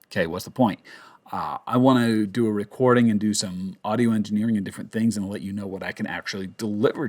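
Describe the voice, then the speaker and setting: Guy with a lowish voice doing a casual how-to, informal and self-deprecating. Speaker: voice actor giving a tutorial. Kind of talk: casual instructional chat.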